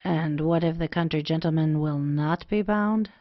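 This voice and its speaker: A woman playing a proud, wry young gentleman suitor in a period comedy audio play, speaking in dry, archaic phrases. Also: low-pitched, normal speed, no room sound, muffled recording, natural voice. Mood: indignant.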